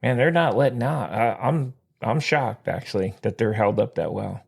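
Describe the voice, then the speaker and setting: Gravelly, animated podcast co-host man. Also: lowish voice, normal speed. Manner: lively, joking, teasing. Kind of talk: casual sports chat.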